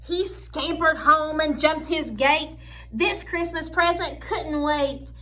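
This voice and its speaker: Bright, high-voiced woman reading to children. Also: takes it slowly. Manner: expressive, explains the pictures in simple asides. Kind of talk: children's picture book read-aloud with asides to listeners.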